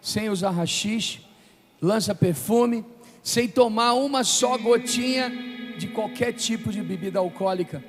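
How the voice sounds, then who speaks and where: High-voiced Brazilian preacher, a man in a hall. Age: 50s. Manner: emphatic, declamatory, with deliberate pauses. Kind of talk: sermon with emphatic scripture reading and call-and-response. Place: large reverberant room, live preaching event. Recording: long hall reverb, music underneath.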